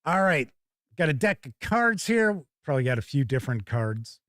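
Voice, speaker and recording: A man's voice: on the high side, sing-song, normal speed, fairly bright tone, dry recording, studio quality. A man hosting a tabletop gaming show, chatting casually and thinking aloud as he gives live first-look commentary.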